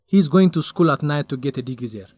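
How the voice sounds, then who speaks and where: A man's voice: a little high, lively intonation, fast, a little mellow, dry recording, faint music behind. An actor playing a young male researcher in a radio drama, lovesick and stubborn, speaking in an earnest, defensive way.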